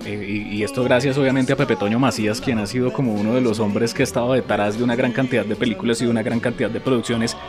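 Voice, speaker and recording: A man hosts a radio interview, and he talks quickly. He strings his long questions together with plenty of asides. Loud music plays under his voice throughout. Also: medium pitch, fairly even intonation. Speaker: radio host.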